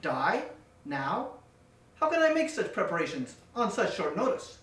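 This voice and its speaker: A performer voicing an earnest masked male wrestler hero, deadpan and overly literal and polite. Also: mid-range voice, unhurried. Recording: roomy echo, distant mic.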